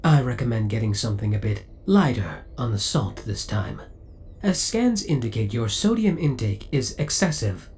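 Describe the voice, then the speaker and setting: Spaceship AI , played by a man: formal, courteous, politely obsessive, with animated pitch swings, for an ASMR roleplay. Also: normal speed, a little hiss or hum. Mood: courteous, attentive. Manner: formal, courteous, precise servant-like delivery. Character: devoted, possessive male spaceship AI, politely obsessive.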